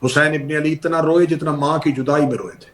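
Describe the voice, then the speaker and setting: A man's voice: fairly high pitch, fairly even intonation, a little fast, a little hiss or hum. A man preaching, telling a sacred story in a declamatory, mournful sermon. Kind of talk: emotional religious sermon narration.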